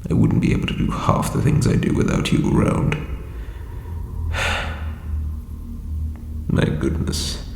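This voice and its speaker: A man playing an ancient, insecure undead lich king in a comedic audio roleplay, with a breathy croak and hesitant, pompous phrasing. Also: takes it slowly, long pauses, darkish tone, close to an everyday voice. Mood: touched, grateful. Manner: hesitant, self-doubting, theatrical formal phrasing. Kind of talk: scripted comedic audio roleplay addressed to listener. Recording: reverberant echoey hall, faint background noise.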